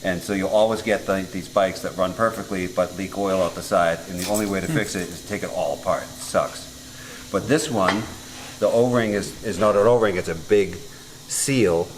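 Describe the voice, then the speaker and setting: A man's voice: low-pitched, moderate pace, a little hiss or hum, low-quality recording. A man with a Massachusetts accent, a guest mechanic chatting casually while explaining engine parts in a workshop. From Massachusetts, USA. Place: motorcycle workshop.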